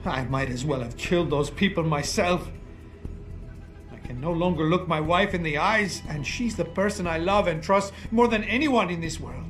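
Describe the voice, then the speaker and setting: An actor playing a guilt-ridden, bitter and proud disgraced activist in a video game, speaking formally and eloquently with raw emotion. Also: male voice, fairly high pitch, normal speed, soft background music, lightly acted. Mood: remorseful, despairing. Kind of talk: dramatic video game voice acting.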